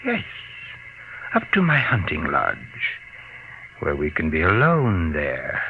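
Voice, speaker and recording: An actor playing a smug, possessive, murderous husband in a 1940s radio thriller, suave, condescending and theatrical. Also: male voice, low voice, exaggerated voice. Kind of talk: 1940s radio suspense acting. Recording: noisy, muffled old radio recording.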